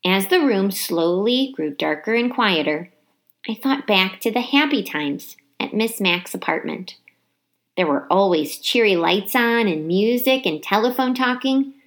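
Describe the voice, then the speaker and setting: A woman reading a children's storybook aloud with dramatic, animated delivery and emphasized words. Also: medium pitch, unhurried. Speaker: teacher reading a book aloud. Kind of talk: expressive children's storybook narration.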